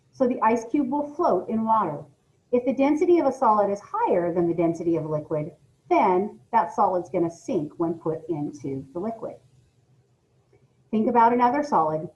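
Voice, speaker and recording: Lively woman teacher reading aloud. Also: medium pitch. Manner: instructional, emphatic, posing questions to listeners. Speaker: schoolteacher reading a lesson aloud. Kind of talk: read-aloud science lesson with asides to children. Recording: dull, lo-fi audio.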